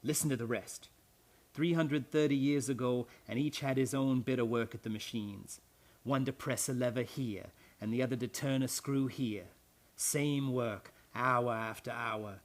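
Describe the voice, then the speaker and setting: An actor playing a grim, blunt old island retainer in a radio play, with rustic, archaic phrasing full of ayes. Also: male voice, medium pitch, close to an everyday voice.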